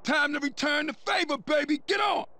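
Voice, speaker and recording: An actor playing a brash, impatient, reckless gang member in a video game, barking scornful street slang. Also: male voice, very high voice, moderate pace, raised voice. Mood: urgent, aggressive. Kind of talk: video game cutscene acting. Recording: game audio with vehicle and street noise.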